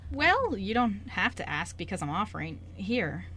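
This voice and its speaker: A woman's voice: medium pitch, sing-song, moderate pace, bright, forceful tone. A woman playing a polite, helpful male newspaper reporter in an amateur radio play, with a hesitant, caring way of talking. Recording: background room noise.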